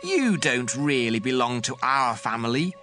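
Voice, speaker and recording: A man's voice: mid-range voice, sing-song, measured pace. A man voicing scornful half-brothers. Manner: dismissive. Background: background music.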